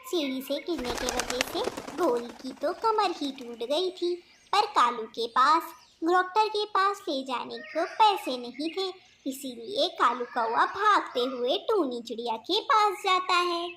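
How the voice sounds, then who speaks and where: A woman's voice: high-pitched, lively intonation, measured pace, hardly any pauses, sharp tone, a little hiss or hum. A woman giving scripted narration for a children's cartoon story.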